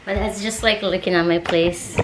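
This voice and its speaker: A woman's voice: on the low side, fairly even intonation, moderate pace. A woman in her twenties chatting casually on her vlog, rambling and giggly, with lots of "like". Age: 20s. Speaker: vlogger. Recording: roomy with background noise.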